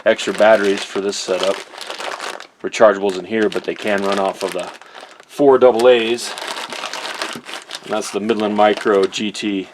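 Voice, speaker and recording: Low-voiced man, casual product reviewer. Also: fairly even intonation, moderate pace, long pauses, noisy background. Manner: informal, easygoing, conversational.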